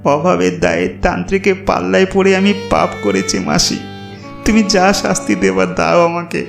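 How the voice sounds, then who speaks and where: A man's voice: high-pitched, lively intonation, moderate pace, creaky, voice pushed up, full character voice. A man voicing a kind-hearted, remorseful poor villager who pleads earnestly in a cartoon story. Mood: pleading, earnest. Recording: roomy echo, music behind.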